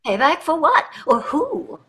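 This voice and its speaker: A woman's voice: high-pitched, big pitch swings, a little slow. An actress in a staged play reading, playing a wealthy, entitled society wife and mother with an affected, gushing manner. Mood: nosy, indignant. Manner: affected, gushing, effusive endearments.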